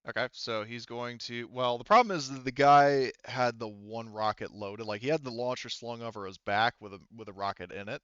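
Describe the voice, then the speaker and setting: A man acting as game master, narrating a tabletop roleplaying game in a halting, thinking-aloud way. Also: mid-range voice, fairly even intonation, a bit bright, clean tone, no echo, highs cut off. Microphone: headset.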